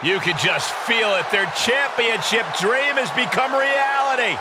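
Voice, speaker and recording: A man's voice: high-pitched, steady tone, a little slow. A man playing an awed, dramatic wrestling commentator in a video game. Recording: noisy arena background.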